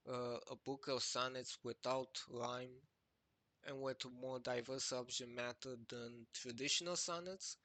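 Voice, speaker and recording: A young adult man, a native Romanian speaker, presenting his database project over a screen walkthrough, talking haltingly with many fillers and restarts. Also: mid-range voice, sharp tone, no room sound. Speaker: project creator presenting a website. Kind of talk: screen-recorded walkthrough narration.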